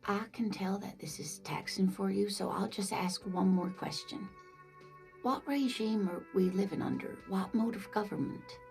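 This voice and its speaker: A woman voicing a kindly, scatterbrained older married woman in a video game, gentle and doting, with music behind her. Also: medium pitch, normal speed, vocal fry, lightly acted. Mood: concerned, regretful. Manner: gentle, doting, hesitant, calls listener dear. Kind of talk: video game dialogue acting.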